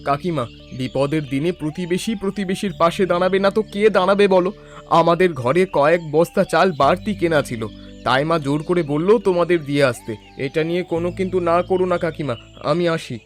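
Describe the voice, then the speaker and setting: A man voicing a helpful, easygoing young village son and neighbour in an animated family drama. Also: a little high, fast talker, faint music behind, natural voice.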